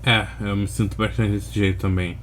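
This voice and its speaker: A Brazilian man giving casual reaction commentary in a halting, slangy way. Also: on the low side, level tone. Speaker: reaction video host.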